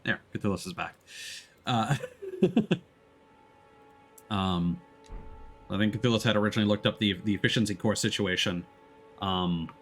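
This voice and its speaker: A man giving casual, explanatory let's-play commentary as a game streamer, talking in a halting way. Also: fairly low pitch, fairly bright tone. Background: background music.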